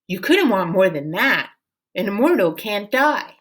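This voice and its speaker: A woman's voice: mid-range voice, sing-song, a little slow, husky. A woman voicing an earnest young son in a folktale. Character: earnest young son advising his father.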